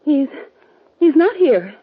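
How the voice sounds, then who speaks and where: A woman's voice: high voice, big pitch swings, slow, heavily acted. An actress playing a worried, caring young frontier woman in a 1950s western radio drama. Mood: nervous, guarded. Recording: tinny, noisy old radio recording.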